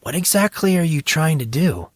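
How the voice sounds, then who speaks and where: Man voicing an awed, hesitant teenage boy, higher-pitched. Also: expressive, normal speed, no room sound, studio quality. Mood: curious, stalling. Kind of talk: audiobook character dialogue.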